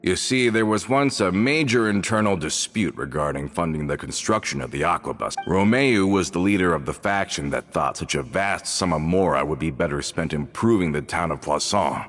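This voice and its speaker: A man's voice: low voice, normal speed, few pauses, a bit bright. An actor voicing a loyal, earnest young male gang member in a game, worried and explaining at length. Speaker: henchman to the boss. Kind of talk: game voice acting.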